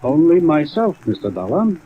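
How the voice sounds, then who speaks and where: A man's voice: a little high, sing-song, moderate pace. Thin-sounding, genteel, guarded rich man. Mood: guarded, polite. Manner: polite, formal, smoothly evasive. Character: wealthy, genteel, guarded husband and mansion owner. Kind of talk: 1950s radio drama acting. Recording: thin, phone-like old radio recording, rain noise.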